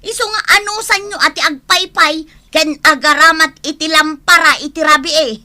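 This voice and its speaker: A woman's voice: high voice, normal speed, bright, forceful tone. A woman playing a nagging, hard-pressed mother in a radio comedy drama, with an Ilocano accent and a scolding manner.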